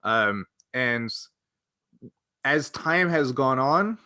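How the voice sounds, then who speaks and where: A man's voice: mid-range voice, animated, extremely slow, sharp tone, dry recording. A man co-hosting a casual fan discussion, speaking earnestly and often rephrasing himself mid-thought. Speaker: podcast co-host.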